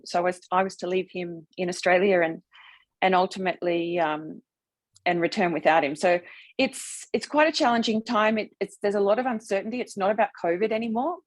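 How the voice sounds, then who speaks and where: A woman's voice: mid-range voice, normal speed, dry recording. A woman speaking as a webinar panelist over a video call, earnest and hesitant, with frequent ums. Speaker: panelist, sales executive. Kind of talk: conversational webinar answer.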